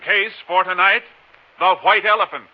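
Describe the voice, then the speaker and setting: A man's voice: sits high, steady tone, moderate pace, music in the background. A man announcing a 1950s radio show with a dramatic scripted delivery. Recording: muffled old radio recording.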